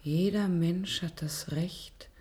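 A woman playing an earnest, obedient female test subject in a radio play, reciting deliberately and precisely. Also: on the low side, steady tone, warm tone. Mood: solemn, earnest. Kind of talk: German radio play acting.

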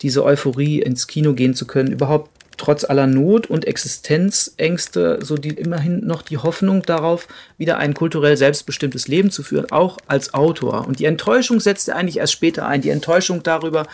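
A man's voice: a little high, a little fast, few pauses, a little mellow. A man giving analytical literary commentary in long, articulate, winding sentences, as in an interview.

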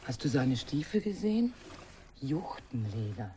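An actress playing a young woman named Maria in a radio drama, speaking softly and slowly. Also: extremely low pitch, very animated, a little hiss or hum, heavily acted. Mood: teasing. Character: young woman, Maria, teasing then subdued.

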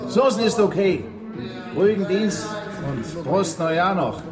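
An actor playing a sociable, easygoing party-goer in a radio drama, speaking casually. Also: male voice, high-pitched, lively intonation, measured pace. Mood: cheerful. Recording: echoey room with music. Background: music.

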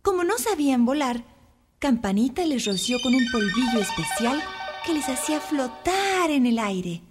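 Lively, high-voiced woman storyteller. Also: moderate pace, soft background music. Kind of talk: scripted children's story narration.

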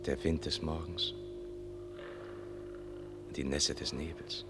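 An actor in a German radio play playing a deferential, composed manservant who speaks in measured, short descriptive phrases. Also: male voice, rumbling low, fairly even intonation, over music, full character voice. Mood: calm, hushed. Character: composed, deferential male attendant Antonio guiding his master.

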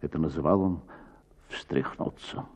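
A man reading a literary short story as a radio narrator, with measured, dramatic phrasing and drawn-out words. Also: rumbling low, darkish tone, breathy edge.

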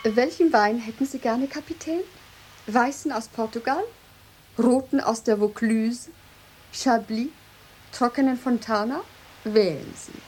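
An actress in a German radio drama playing a haughty, jealous lighthouse mistress, speaking in an imperious, formal and mocking way. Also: female voice, a little high, measured pace, pauses often, a little mellow, husky, poor audio. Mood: gracious, needling.

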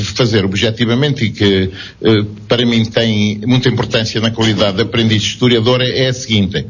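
A man speaks up from the floor of a public debate, his voice low and husky. He hesitates and doubles back on his words. The recording sounds dull and lo-fi. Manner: hesitant, repeats words, quotes a text. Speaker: audience member asking a question.

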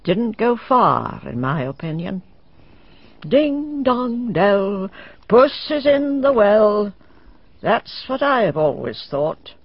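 Sly older village witch, rustic and cryptic, swooping lively pitch, husky, on a dull dry radio recording. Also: slow pace, dry recording, heavily acted. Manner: cryptic, gossiping, rustic grammar. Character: old village woman who plays witch, sly, knowing. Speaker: village fortune teller. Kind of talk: radio drama acting.

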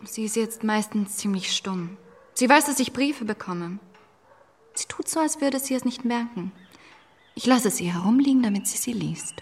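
A young woman of old Paris in a radio drama, high-voiced and hopelessly in love. She confides candidly, often hushed, with a stubborn defiance underneath. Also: animated, normal speed, slightly rough. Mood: defiant. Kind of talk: German radio drama acting. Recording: clean studio radio drama.

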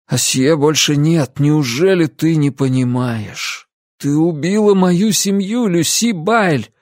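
A woman's voice: deep voice, lively intonation, slow, a little mellow, breathy edge, no echo, studio quality, voice pushed up, heavily acted. A woman narrator voicing a devout young man who feels betrayed, anguished and accusing, in an audiobook. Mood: bitter despair.

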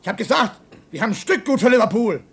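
An actor playing a slippery, lying ship's engineer in a radio play, with a shrill scratchy voice and snappy delivery. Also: male voice, very animated, quick pace, breathy edge, exaggerated voice. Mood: sly, snappy. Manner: snappy, slippery, hair-splitting. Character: weaselly, brazenly lying male ship's second engineer. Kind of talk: German radio play acting.

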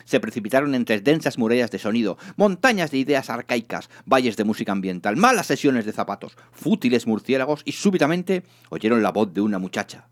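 Expressive male audiobook narrator. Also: mid-range voice, brisk, hardly any pauses. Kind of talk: dramatised comic audiobook narration.

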